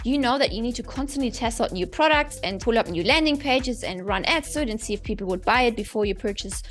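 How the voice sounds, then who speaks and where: A woman's voice: fairly high pitch, animated, fast, soft background music. Upbeat German-accented woman explaining to camera. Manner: upbeat, emphatic, often tags "right?". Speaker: business coach and YouTube host. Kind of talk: enthusiastic talking-to-camera explainer.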